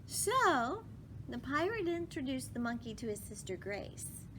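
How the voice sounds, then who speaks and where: A woman reading a children's story aloud with emphatic phrasing, pausing to put questions to listeners. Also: very animated, fairly bright tone. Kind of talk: expressive children's read-aloud with asides to listeners. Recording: clearly noisy with low rumble.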